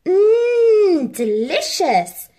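A woman voicing an excitable young boy in a storybook, earnest and exclamatory. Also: very high-pitched, drawn-out words, voice pushed up, exaggerated voice. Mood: delighted, puzzled. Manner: exclamatory, dramatic.

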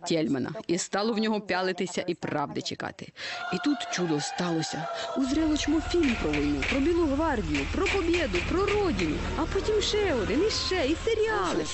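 A man narrating a satirical cartoon tale in a colloquial, ironic, mock fairy-tale manner. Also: high-pitched. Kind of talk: scripted satirical storytelling for a cartoon. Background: music.

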